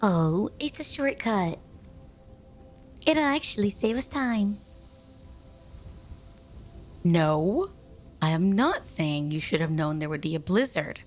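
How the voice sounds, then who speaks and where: A woman's voice: lowish voice, sing-song, slow pace, long pauses, soft background music, full character voice. A woman playing a bossy, irritable mean girl in an ASMR roleplay, with an exasperated, scolding and sarcastic way of talking. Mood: annoyed, sarcastic. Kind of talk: ASMR roleplay audio drama.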